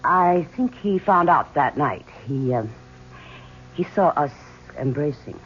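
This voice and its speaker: Sly, poised mistress, expressive intonation, evasive and arch, 1970s radio drama, faintly noisy recording. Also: female voice, low voice, takes it slowly, highs cut off. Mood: cool, arch. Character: cool, sly woman, murder victim's lover. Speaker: actor.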